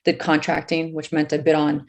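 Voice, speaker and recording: Low-pitched woman, forties, from California, measured interview guest. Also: monotone, creaky. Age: 40s. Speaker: guest author. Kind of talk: casual interview.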